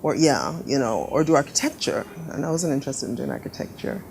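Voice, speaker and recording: A middle-aged woman designer telling stories in a casual interview, lively and laughing often, doing little voices for her parents. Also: very deep voice, fairly quick, vocal fry, a little hiss or hum. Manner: animated storyteller, laughs often, acts out quoted family voices. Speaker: fashion designer guest. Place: living room studio with sofas.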